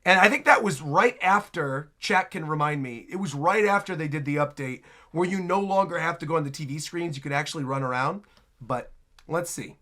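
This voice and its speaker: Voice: medium pitch, fairly quick, sharp tone. A reaction video host giving casual, off-the-cuff commentary on game trailers. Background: music from the trailers.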